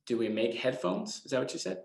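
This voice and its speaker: A man's voice: mid-range voice, expressive, quick pace, gravelly, noisy background. A man speaking casually as a guest answering children's questions, in a plain, hesitant, self-deprecating way. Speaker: guest speaker from an eco products company. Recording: echoey room sound.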